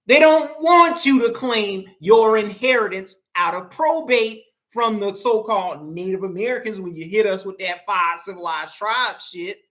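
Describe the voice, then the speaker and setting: A woman's voice: medium pitch, moderate pace, few pauses, fairly bright tone. A woman in a casual talk giving blunt, profane, run-on explanations. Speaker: co-host. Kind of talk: casual heated commentary.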